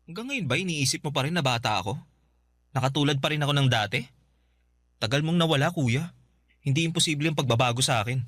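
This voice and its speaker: Radio drama: resentful younger brother, twenties, Filipino accent, fast clipped delivery, defensive. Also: male voice, fairly high pitch, a bit bright, no echo, studio quality. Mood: defensive, curt. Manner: curt, defensive.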